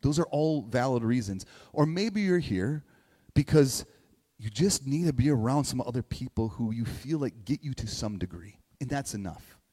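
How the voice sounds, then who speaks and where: A man's voice: mid-range voice, animated, dark tone, husky. Man preaching informally in an echoey hall. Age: 40s. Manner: halting, repeats phrases, checks in with "right?". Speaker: pastor. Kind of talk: informal conversational sermon. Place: large reverberant indoor room, church gathering. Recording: echoey hall with long reverb.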